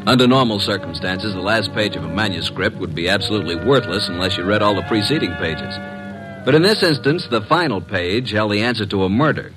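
Low-voiced wisecracking detective's sidekick played by a man. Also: animated, fairly quick, music in the background, natural voice. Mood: narrating, dry. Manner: impatient, sarcastic, breezy wisecracks. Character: brisk, wisecracking, flirtatious male detective's assistant. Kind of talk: 1940s-50s American radio drama acting. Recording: noisy old radio recording.

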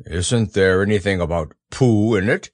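A man's voice: deep voice, normal speed, darkish tone, dry recording, studio quality. A man voicing a proud, simple-minded storybook bear with a growly voice and an earnest manner. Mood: puzzled.

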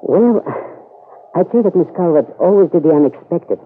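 A man playing a discreet manservant in an old-time radio soap, formal, measured and tactfully evasive. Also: high-pitched, lively intonation. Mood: guarded, tactful. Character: discreet, deferential gentleman's manservant, evasive and gallant. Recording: tinny, noisy old radio broadcast.

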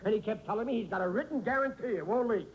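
An actor playing a blustering, exasperated working-class husband and father in a 1940s radio sitcom, loud, bossy and complaining. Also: sits high, rapid-fire, close to an everyday voice. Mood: indignant, blustering. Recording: dull, noisy old 1940s radio recording.

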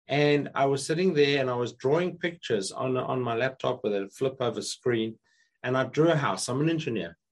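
A hoarse man with a croaky voice, a guest speaker on a video call, telling stories in casual conversation and often restarting his sentences. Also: mid-range voice. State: hoarse, recovering from illness. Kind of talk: casual interview chat.

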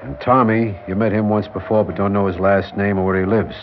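Gruff male lieutenant played by a man, lower register, dry authority, classic radio style, dull vintage recording. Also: steady tone, a little fast, slight background noise, close to an everyday voice. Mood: weary, official. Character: gruff, dryly sardonic male police lieutenant. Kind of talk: classic radio drama acting.

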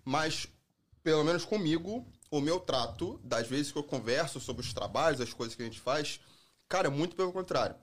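A man's voice: fairly high pitch, lively intonation, normal speed. A man from Brazil with a strong Rio accent, a guest in a casual podcast chat, talking emphatically. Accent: carioca. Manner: emphatic, swears he never felt prejudice.

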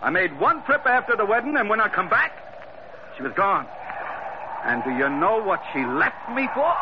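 A rough-and-ready sailor sidekick, full of folksy good humour in an old radio serial. His voice sits high for a man and swings animatedly. The vintage recording is dull and very noisy. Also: very animated, normal speed. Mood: rueful. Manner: folksy, colloquial, chuckling banter. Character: jovial rough-and-ready male sailor, loyal first mate. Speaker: supporting actor, comic sidekick. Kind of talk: 1940s radio adventure drama acting.

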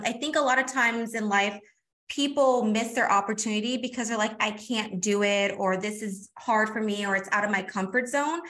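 Chatty woman guest with a very high, bright, sharp voice. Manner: chatty and energetic, calling the host "girl". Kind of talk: casual interview chat.

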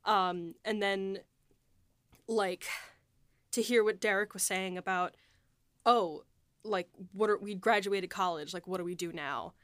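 A woman's voice: mid-range voice, fairly even intonation, unhurried, pauses often, a bit bright, smooth, no room sound, studio quality. A young woman in a casual, reflective group chat, effusive and often hesitating around the word "like". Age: young adult. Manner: effusive, hesitant phrasing with frequent "like". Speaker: podcast co-host, writer and producer.

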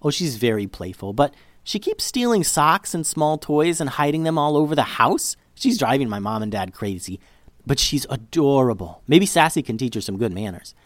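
A man voicing an excitable, chatty young girl in a children's storybook, gushing breathlessly. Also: medium pitch, normal speed, dry recording, clean studio sound, close to an everyday voice. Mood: chatty, amused.

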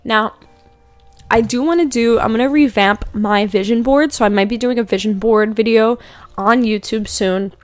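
A young woman hosting a casual spiritual chat, rambling with many fillers and slowing down to read out affirmations. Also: fairly high pitch, no room sound. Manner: rambling, filler-heavy, laughs often, slows down for affirmations. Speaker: podcast host doing card readings. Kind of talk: casual spiritual chat with tarot-style readings.

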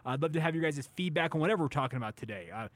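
A man's voice: a little high, lively intonation, very fast, no room sound. A man hosting a sports radio show in an upbeat, informal, chatty way. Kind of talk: casual sports talk radio chat.

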